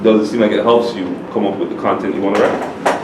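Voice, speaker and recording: A man co-hosting a casual interview, talking in a relaxed, chatty, opinionated way. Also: fairly low pitch, fast talker. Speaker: co-host. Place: studio. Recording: roomy, distant mic, noise.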